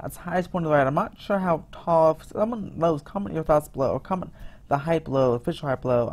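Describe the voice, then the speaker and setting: Rambling enthusiastic man narrating photos. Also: on the high side. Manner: rambling, many fillers and restarts, enthusiastic. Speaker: theme park vlogger. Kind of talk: casual voice-over commentary on photos.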